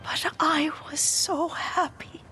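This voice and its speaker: Frail, slow-speaking elderly woman. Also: high-pitched, fairly even intonation, bright, forceful tone, faint music behind, exaggerated voice. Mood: tender, wistful. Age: older. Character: frail, devoted elderly Japanese woman servant, gently teasing, wistful. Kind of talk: dramatic video game cutscene acting.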